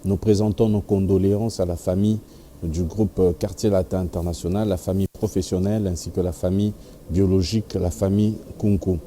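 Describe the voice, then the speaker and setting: A man's voice: low voice, steady tone, a little fast, warm tone, slight background noise. A man hosting a TV music news show, speaking in formal announcer phrasing and switching into Lingala. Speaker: TV show host. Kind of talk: TV entertainment news and interview.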